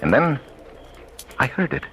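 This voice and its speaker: An actor playing a calm, shrewd blind police captain who questions suspects and narrates in a measured, dry way, in 1940s radio acting style. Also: male voice, mid-range voice, a little hiss or hum. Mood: narrating. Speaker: detective narrator.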